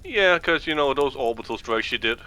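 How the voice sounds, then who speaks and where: Bright-voiced man explaining over gameplay. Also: medium pitch, lively intonation, brisk, clean tone. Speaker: gameplay co-commentator explaining folklore. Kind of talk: casual chat over a video game.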